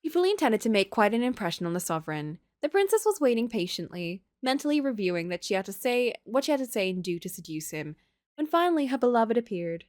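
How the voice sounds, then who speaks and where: A woman's voice: fairly high pitch, big pitch swings, quick pace, darkish tone, dry recording, clean studio sound. A woman livestreamer reading a visual novel aloud, moving between story narration and casual commentary.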